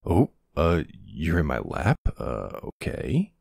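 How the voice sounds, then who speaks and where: Man acting a very deep, gravelly older boyfriend. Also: huge pitch swings, darkish tone, dry recording, clean studio sound, heavily acted. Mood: affectionate. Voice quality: gravelly, husky. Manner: slow, hesitant, soothing. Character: protective older ex-military boyfriend, gruff but tender. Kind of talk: intimate ASMR boyfriend roleplay.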